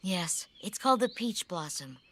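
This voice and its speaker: A woman's voice: on the low side, expressive, normal speed, a little hiss or hum, lightly acted. A woman voicing a cool, guarded young female protector in an anime dub, terse and controlled. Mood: stern, restrained. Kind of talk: anime English dub acting. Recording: prominent music bed under voice.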